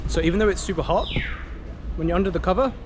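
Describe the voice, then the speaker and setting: A young man with an English accent, chatting casually and upbeat as a travel vlogger outdoors on a city street. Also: high-pitched. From England. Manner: upbeat, chatty, quick to laugh. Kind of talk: casual travel vlog chat. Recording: city street noise behind. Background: traffic and street ambience.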